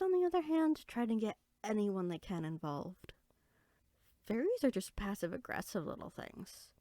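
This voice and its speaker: A small, friendly fairy girl, performed by a woman talks in an audio roleplay. She is bookish and eager, chatting expressively as if to one companion. Her voice sits at a medium pitch, with hardly any room sound around it. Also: a little mellow, no room sound, studio quality. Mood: chatty, thoughtful. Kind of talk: roleplay audio drama, one side of a conversation.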